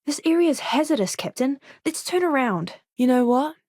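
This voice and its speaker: A woman's voice: sits high, lively intonation, normal speed, darkish tone, no echo, studio quality. A woman voicing an eager, curious young botanist and doctor in a spaceship crew for a video game, with an earnest, polite manner. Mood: friendly, eager.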